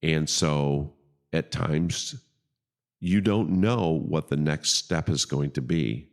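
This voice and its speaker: Very deep-voiced middle-aged male pastor. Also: slow pace. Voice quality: big voice. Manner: emphatic, with frequent "okay?" checks. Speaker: pastor and podcast host. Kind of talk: casual podcast conversation.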